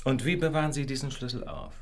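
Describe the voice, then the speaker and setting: An actor playing a confident, probing gentleman detective with precise, assured delivery in a German radio drama. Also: medium pitch, fast talker, background noise, close to an everyday voice. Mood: probing, curious.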